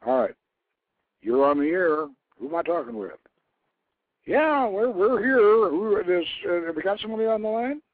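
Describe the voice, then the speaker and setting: A man hosting a radio show, explaining things informally in a halting way, repeating and rephrasing as he goes. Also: sits high, sing-song, no echo. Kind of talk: informal explanatory talk, taking calls. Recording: narrow phone-like internet radio line.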